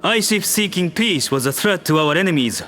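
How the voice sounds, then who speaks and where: A man's voice: sits high, lively intonation, moderate pace, pushed and bright, faint music behind. A man voicing a human diplomat making an earnest, persuasive speech to an alien assembly.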